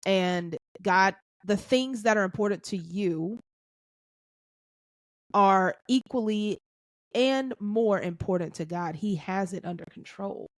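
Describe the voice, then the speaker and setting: A woman's voice: mid-range voice, slow pace, no room sound, studio quality. A woman hosting a podcast, talking reflectively about faith, thinking aloud and affirming her guest. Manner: thinks aloud with restarts, affirming "that's right", "amen". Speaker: podcast host. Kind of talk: reflective faith-centered casual chat.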